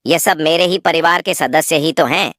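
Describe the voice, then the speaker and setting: A caring male lion king of the jungle, voiced by a woman for an animal cartoon. His voice is low and he speaks with earnest, stately dignity. The recording is dry and close. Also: moderate pace, a bit bright, no room sound. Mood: concerned, kindly. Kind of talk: children's animal moral-story cartoon.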